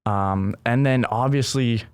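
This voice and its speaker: A man's voice: mid-range voice, a little slow, clear, resonant tone, no echo. A young man who grew up in Brazil, speaking as a guest in a casual interview, thoughtful and earnest, often adding "right?". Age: young adult.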